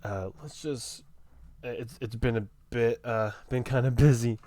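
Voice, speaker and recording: A man giving casual, low-key let's play commentary, talking in a hesitant way. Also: unhurried, dark tone. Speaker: gameplay streamer. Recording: faint noise and clicks behind.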